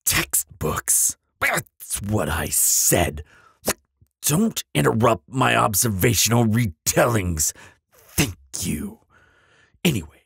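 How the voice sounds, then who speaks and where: Guy doing a grumpy bogeyman for audio roleplay, super husky low voice, pompous n easily flustered, secretly soft. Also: big pitch swings, takes it slowly, no room sound, studio quality, exaggerated voice. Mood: exasperated. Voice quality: raspy, gravelly. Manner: pompous, flustered stammering, dramatic.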